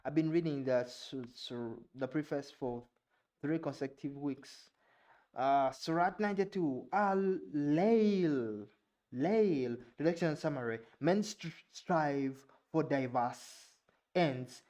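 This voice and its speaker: A young Ugandan man with a Ugandan English accent, his first language Luganda, reading aloud haltingly and sounding out unfamiliar words, with casual asides. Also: on the high side, darkish tone. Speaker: vlogger.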